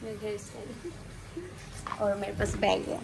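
A woman's voice: medium pitch, little pitch movement, moderate pace, vocal fry, background noise. A woman in her twenties chatting playfully as she films a travel vlog on a phone held at arm's length, first in a hotel room and then in a market. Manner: chatty, playful, exclaims over things. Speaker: travel vlogger. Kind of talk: casual travel vlog chat. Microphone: phone camera at arm's length.

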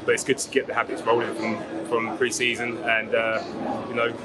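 Footballer with a flat, even voice. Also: male voice, medium pitch, normal speed. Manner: relaxed, fluent, filler-heavy answers. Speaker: footballer, vice captain. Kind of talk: post-match interview. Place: outdoors at a football ground. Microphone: lapel mic.

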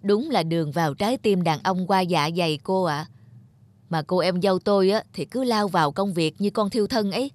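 A woman's voice: fairly low pitch, a little fast, darkish tone, no echo, close to an everyday voice. A woman playing a chatty, well-meaning married neighbour in a cartoon, gossiping with sighs. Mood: regretful. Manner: gossipy, sighing.

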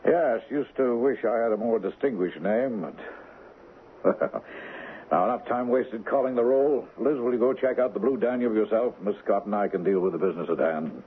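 A man's voice: fairly low pitch, normal speed, close to an everyday voice. An actor playing a domineering, brusque middle-aged millionaire father, curt and dismissive, in a 1970s radio drama. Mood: brusque, impatient. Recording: muffled, noisy 1970s radio broadcast.

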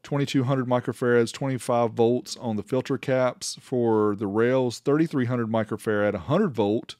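Casual man with a bright voice, dry recording. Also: medium pitch, normal speed, dry recording. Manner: informal, plainspoken. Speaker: host reviewing car audio amplifiers. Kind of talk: casual review voice-over.

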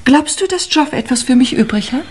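An actress playing a theatrically noble young upper-class lady in a 1950s radio mystery, solemn, precise and high-flown. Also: animated, a little fast.

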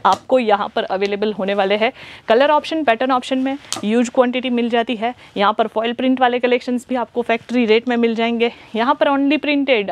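A young adult woman hosting a clothing shop video, delivering an upbeat, repetitive sales pitch while showing garments in a large store. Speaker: shop host presenting clothing. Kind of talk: fast sales pitch to camera.

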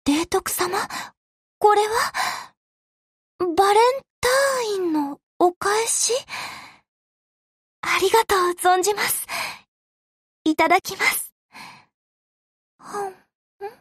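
A shy young anime game girl, tender and easily moved. The voice is very high, and the hesitant words come extremely slowly in a dry recording. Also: no room sound. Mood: gentle, pleased. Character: young warship girls, shy, deeply moved. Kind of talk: Japanese anime game voice lines.

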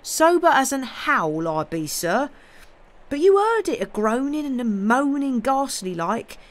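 A woman's voice: high-pitched, sing-song, unhurried, voice pushed up. A woman voicing a frightened, superstitious male servant who babbles in rustic dialect. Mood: pleading. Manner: babbling, fearful, dialect-heavy.

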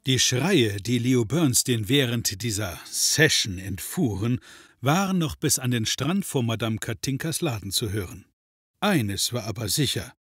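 A man's voice: medium pitch, breathy edge, no echo. A man narrating an occult detective comedy audio drama in a dry, wry, mock-solemn manner.

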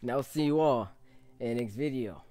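A young man reacting casually to a video in a small room at home, excitable and often repeating himself. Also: mid-range voice, brisk. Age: young adult. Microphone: studio microphone with pop filter.

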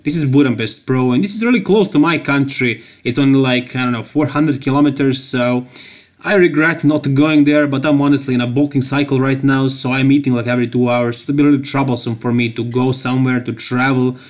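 A man's voice: medium pitch, fairly even intonation, a little fast. A man with a Serbian accent giving casual, joking voice-over commentary on a bodybuilding show, laughing often. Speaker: bodybuilding YouTuber.

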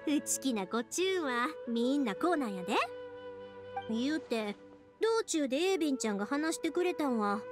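High, lively young Kansai woman. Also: moderate pace, music in the background. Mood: chatty, thoughtful. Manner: chatty, expressive, friendly. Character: cheerful, chatty young woman fireworks maker. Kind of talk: animated video game voice acting.

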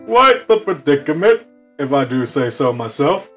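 Man voicing a high-pitched, good-natured cartoon wolf. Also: sing-song, moderate pace. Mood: easygoing. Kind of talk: improvised cartoon roleplay. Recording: slightly dull lo-fi mic, music behind.

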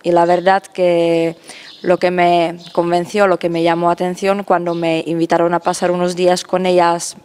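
Novice in her 30s, chill and friendly, handheld mic, on the low side. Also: monotone, moderate pace, a little mellow, a little hiss or hum. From Czech Republic. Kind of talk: interview answers. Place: cloister garden outdoors.

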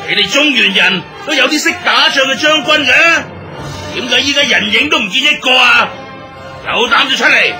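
A man's voice: very high voice, pushed and bright, full character voice. A male actor playing a boastful, warlike barbarian king in a radio drama, blustering and declaiming in Hong Kong Cantonese. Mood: taunting, challenging. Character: boastful, bellicose barbarian king taunting his enemy. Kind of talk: theatrical radio drama acting.

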